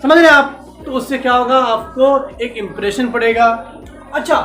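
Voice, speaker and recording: A man's voice: very high-pitched, normal speed, bright, forceful tone, echoey room, soft background music. A male English teacher lecturing a class, mixing English phrases into Hindi and checking often that the students follow.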